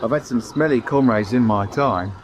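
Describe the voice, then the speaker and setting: A man playing a rough-spoken, wry sailor in colloquial radio drama dialogue. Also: medium pitch, moderate pace, close to an everyday voice. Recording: loud background ambience noise.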